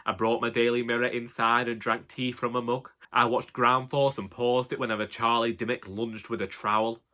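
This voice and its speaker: A man playing a long-suffering elderly Northern English husband, telling a comic monologue in a wry, deadpan way. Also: mid-range voice, moderate pace, close to an everyday voice. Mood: wry, exasperated. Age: older. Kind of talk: comic scripted monologue narration.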